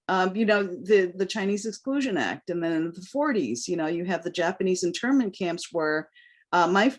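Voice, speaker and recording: A woman from New York whose first language is Cantonese, a guest giving a candid, impassioned interview answer. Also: fairly low pitch. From New York, United States. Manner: earnest, impassioned.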